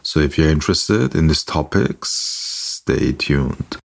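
A man hosting a podcast, introducing his guest in a measured, formal way. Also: a bass voice, creaky, soft background music. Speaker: podcast host. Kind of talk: podcast interview.

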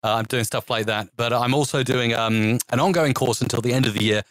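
A man guest on a podcast who teaches audio editing, talking quickly and laughing easily. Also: medium pitch, a bit bright, no room sound. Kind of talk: casual interview talk.

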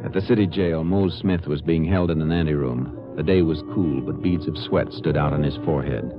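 A man playing a calm, plainspoken Texas Ranger investigator in a 1950s radio drama, steady and understated. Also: rumbling low, moderate pace, loud music behind the voice. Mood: grave, narrating. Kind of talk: 1950s radio drama acting with first-person narration. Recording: muffled, noisy vintage radio broadcast.

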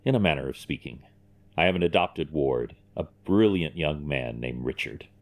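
A man playing a composed, earnest and respectful businessman in an audio drama, speaking in a measured, polite way. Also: extremely low pitch, expressive.

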